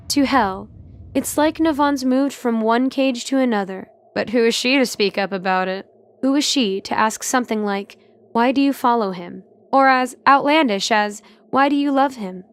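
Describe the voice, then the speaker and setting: A woman reading scripted narration for an audio drama. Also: fairly high pitch.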